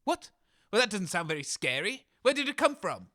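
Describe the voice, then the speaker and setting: A man co-hosts a comedy radio show with in-character banter. His voice is high and forceful, and his intonation moves around a lot. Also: very animated, moderate pace.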